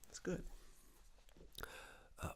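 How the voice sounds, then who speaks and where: A man doing a soft-spoken ASMR roleplay as a tailor, breathy and often whispered, slow and reassuring, close to a binaural microphone. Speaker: ASMR performer playing a tailor taking measurements.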